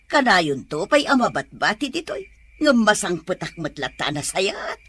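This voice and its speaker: A woman's voice: medium pitch, sing-song, moderate pace, a bit bright, breathy edge. A woman playing an older grandmother fond of drink and dancing, who talks in a teasing drawl in an Ilocano comedy-drama. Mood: grumbling.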